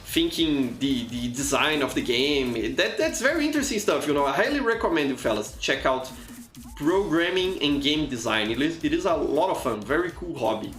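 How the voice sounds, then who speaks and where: A man's voice: a little high, sing-song, moderate pace, a bit bright, roomy sound. A man from Brazil, a native Portuguese speaker with a Brazilian accent, chatting casually while streaming a game and often restarting his words. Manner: chatty, frequent restarts and repeated words, reads chat aloud. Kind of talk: casual live gameplay commentary.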